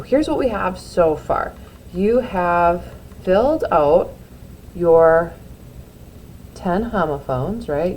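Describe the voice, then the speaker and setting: A woman's voice: on the low side, dark tone, slight background noise, low-quality recording. A woman teacher explaining a drawing assignment to her students in a chatty, encouraging way, with lots of filler words. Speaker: art teacher. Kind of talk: classroom instruction video.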